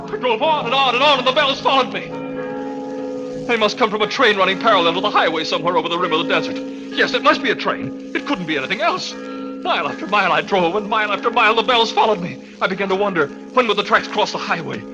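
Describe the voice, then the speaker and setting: An actor playing a guilt-haunted man in a 1940s radio thriller, melodramatic and anguished, moving between hushed narration and frantic outbursts. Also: sits high, expressive, quick pace, lo-fi sound. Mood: desperate, driven. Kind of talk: 1940s radio suspense acting, first-person narration. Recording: old 1940s radio broadcast, music behind.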